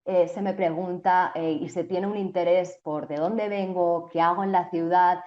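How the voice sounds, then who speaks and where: A woman's voice: on the low side, steady tone, normal speed, lo-fi sound. A young woman from Spain with a Spanish accent, a journalist answering interview questions thoughtfully, with frequent restarts and fillers. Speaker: TV journalist and co-host.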